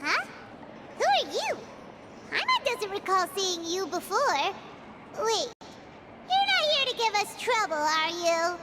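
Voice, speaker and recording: A woman voicing a small, chatty, excitable childlike fairy in a video game, squeaky and expressive. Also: slow pace, long pauses, very noisy, heavily acted. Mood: puzzled, wary. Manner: refers to self in third person, expressive. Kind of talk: cartoonish video game voice acting.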